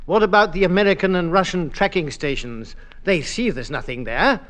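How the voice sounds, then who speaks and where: An actor playing an anxious, easily flustered British civil service underling in a radio sitcom, fretful and hesitant. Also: male voice, sits high, animated, moderate pace, a bit bright. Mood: worried. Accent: British English.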